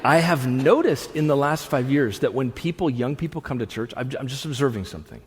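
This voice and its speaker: A man in his thirties, a pastor preaching a sermon to a room, conversational with asides, swinging from loud bursts to hushed lines. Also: medium pitch, lively intonation, brisk, a little hiss or hum. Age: 30s. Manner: conversational with frequent restarts and asides. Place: church hall with an audience. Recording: long reverb of a large hall.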